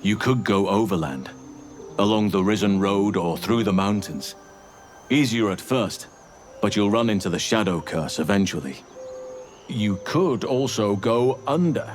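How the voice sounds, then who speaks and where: A solemn elf druid warrior from a fantasy game, acted by a man. His voice sits fairly low and moves with measured, earnest gravity. Faint music plays behind him. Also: natural voice. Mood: earnest, advising. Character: grave, earnest male elf druid warrior offering counsel. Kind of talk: video game dialogue acting.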